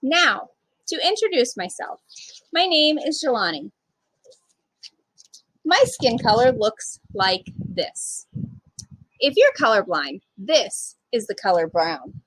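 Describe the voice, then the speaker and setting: A woman with a high-pitched voice reads a picture book aloud to young kids. Her intonation swings widely and expressively, and she addresses her little listeners directly. The sound is dry and close, with very little room in it. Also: sing-song, slow pace, pauses often, fairly bright tone, breathy edge, no room sound, low-quality recording. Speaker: children's storytime reader. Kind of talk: read-aloud to young children.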